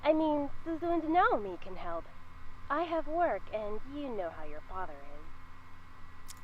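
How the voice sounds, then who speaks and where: A woman's voice: a little high, very animated, unhurried. An actress playing an affectionate, busy mother with a breezy manner, through a phone call filter in a game. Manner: sweet, breezy, apologetic. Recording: dull phone-filtered audio, faint noise.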